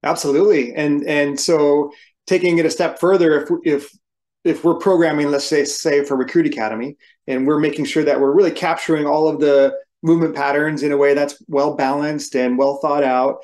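A man's voice: fairly high pitch, steady tone, normal speed, a little mellow. A man in his forties speaking as a podcast guest on a video call from a room at home, explaining things in a methodical, instructive way. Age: 40s. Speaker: podcast guest, firefighter and coach. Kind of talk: conversational explanation in an interview. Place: small room at home, indoors.

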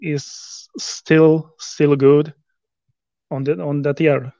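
A man in his thirties from Poland with a Polish accent, speaking halting English with many restarts in a video call interview. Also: on the high side, flat delivery, warm tone, lo-fi sound. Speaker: interview guest, rower. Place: living room at home.

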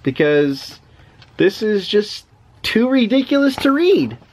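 Man playing an exasperated, slow, drawn-out storybook reader. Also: high-pitched, very animated, a little hiss or hum, voice pushed up, full character voice. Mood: pleading. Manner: mock-protesting, drawn-out, playful. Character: exasperated, reluctant storybook reader forced to say silliness. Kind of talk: animated children's storybook reading.

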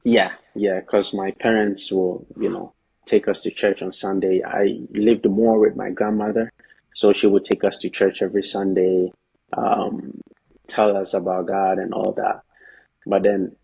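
A man from Ghana with a low, gravelly voice that stays quite flat. He speaks as a guest on a video call, calm and measured, leaning on "you know" between thoughts. The tone is dark and a little husky. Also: low-quality recording. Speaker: guest, professional soccer player. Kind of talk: casual interview talk. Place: indoors, bright room at home. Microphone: video call, laptop or phone camera.

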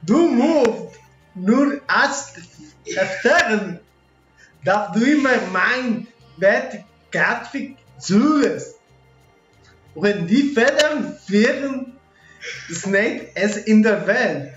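Female performer as magical storybook old woman, stern and kindly, extremely slow delivery, echoey with music behind. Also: a little high, expressive, lo-fi sound. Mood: inviting, instructing. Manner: slow, drawn-out, deliberate.

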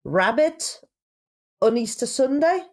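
A woman's voice: a little high, lively intonation, takes it slowly. A woman co-hosting a casual podcast, thinking aloud in a tentative way. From outside Britain. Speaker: podcast co-host.

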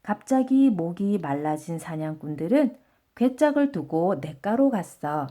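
A woman reads a children's storybook aloud for bedtime. Her pitch moves in a lively way, and her tone is soft-edged and mellow. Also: unhurried. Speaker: storybook reader.

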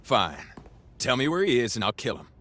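An actor playing a tough, casual gang boss in a video game, terse and dry. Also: male voice, medium pitch, sing-song, a little fast, a bit bright, a little hiss or hum. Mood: blunt. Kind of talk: video game cutscene acting.

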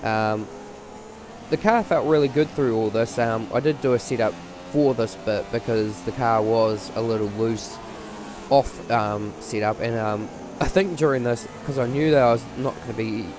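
A man's voice: medium pitch, normal speed, over music. A man with a voice strained from a cold, giving rambling, casual commentary over a racing game with many fillers.

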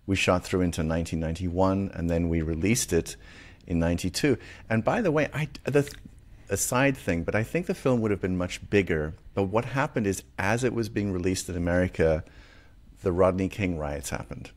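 A middle-aged man hosting an interview, recalling a past film project in a reflective, halting way. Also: low voice. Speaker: host, filmmaker. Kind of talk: conversational interview.

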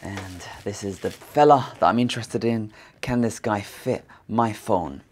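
A man, casually reviewing a gadget in a jokey, excitable way from inside a car. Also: fairly low pitch, measured pace, no room sound. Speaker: tech reviewer. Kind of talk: casual product review vlog. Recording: noisy car cabin.

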